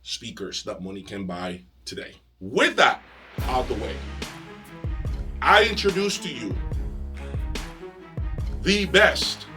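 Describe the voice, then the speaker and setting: Male host for enthusiast product review. Emphatic, dramatic pauses, sharp bright tone, music underneath. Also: mid-range voice, very animated. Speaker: audio review channel host.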